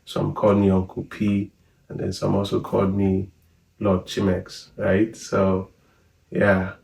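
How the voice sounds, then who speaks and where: A man in his thirties with a Nigerian accent, chatting in a relaxed, rambling way on a family vlog. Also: deep voice, unhurried, creaky. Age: 30s. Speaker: vlogger. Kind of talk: casual chat. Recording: echoey room from far off.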